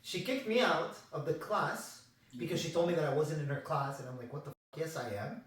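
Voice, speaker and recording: Young man in an echoey room, higher voice. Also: a little fast. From Mexico. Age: young adult. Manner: animated, acts out both sides of arguments. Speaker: podcast host. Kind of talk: casual storytelling chat. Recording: echoey room, distant from mic.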